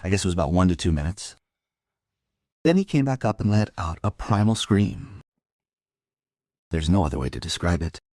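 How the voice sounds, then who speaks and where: Deep, husky world-weary detective. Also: male voice, animated, normal speed, pauses often, darkish tone, no room sound, studio quality. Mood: reflective. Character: world-weary male police detective telling his case. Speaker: narrator and lead detective. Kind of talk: first-person crime audio drama narration.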